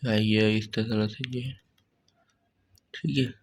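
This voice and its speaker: Hoarse low-voiced male tutor. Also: extremely slow, slight background noise. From Pakistan. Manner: relaxed, repeats "theek hai" as filler. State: hoarse, sore throat. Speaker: online tutor. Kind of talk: casual study-guide walkthrough.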